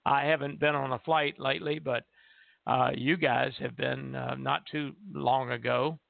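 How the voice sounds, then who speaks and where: An older man hosts a radio talk show in a gravelly voice. He sounds close and dry, but the line is narrow and phone-like. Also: medium pitch, fairly even intonation, measured pace, no room sound. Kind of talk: reading an article aloud and casual chat.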